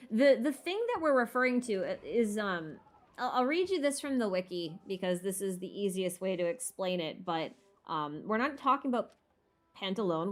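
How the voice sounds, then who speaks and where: A woman livestream host chatting casually about a game, thinking aloud in an informal way. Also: mid-range voice. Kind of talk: casual chat, game lore rambling. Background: background music.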